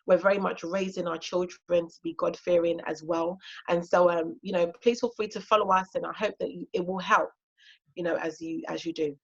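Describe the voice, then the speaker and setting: A woman's voice: fairly low pitch, slightly rough, dull audio. A woman in her thirties, a native Twi speaker from Ghana, talking casually and encouragingly as a homeschooling guest speaker, with frequent fillers. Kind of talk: casual talk on an online session.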